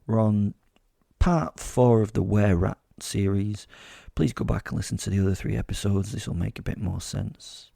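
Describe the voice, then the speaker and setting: A man's voice: fairly low pitch, fairly even intonation, normal speed, gravelly, mellow, dry recording, studio quality. A man narrating a comic horror story in the first person as a sardonic werewolf, in a wry, sweary, conversational way. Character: sardonic, sadistic male werewolf telling his story. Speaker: podcast host and storyteller.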